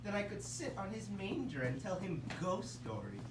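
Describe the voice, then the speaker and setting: A woman's voice: mid-range voice, sing-song, normal speed, over music, lightly acted. A woman doing a chatty male elephant, Elmer. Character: cheerful clumsy male elephant. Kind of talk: amateur puppet show. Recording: echoey room from far off, noisy.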